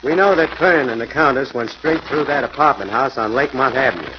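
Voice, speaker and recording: An actor playing a loyal, plainspoken police inspector with a reassuring manner, in 1940s radio acting. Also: male voice, medium pitch, expressive, brisk, lightly acted. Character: gruff, loyal middle-aged police inspector. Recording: dull noisy 1940s radio transcription.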